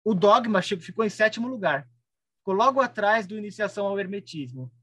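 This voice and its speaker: A man's voice: sits high, lively intonation, fairly quick, sharp tone, no room sound, studio quality. A Brazilian-accented man co-hosting a casual podcast chat with chatty asides. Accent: Brazilian Portuguese. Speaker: podcast co-host.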